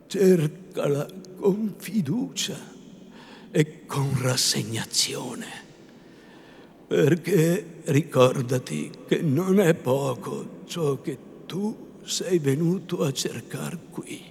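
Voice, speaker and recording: Man playing a stern old friar, high booming shouts, gravelly, slow, echoey hall. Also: slight background noise, heavily acted. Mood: solemn counsel. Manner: solemn, rising to furious shouts. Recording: echoey hall, faint ambience.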